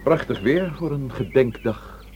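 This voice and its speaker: An actor playing an unflappable police inspector in a radio drama, asking measured, dryly teasing questions. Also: male voice, mid-range voice, animated, darkish tone, a little hiss or hum, lightly acted. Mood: dry, probing.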